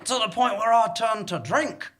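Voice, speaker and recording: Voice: mid-range voice, normal speed, very noisy, natural voice. A performer playing a drunken, disillusioned, self-mocking frontier lawman on stage, wordy and theatrical, in a comic sci-fi western. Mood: bitter, boastful.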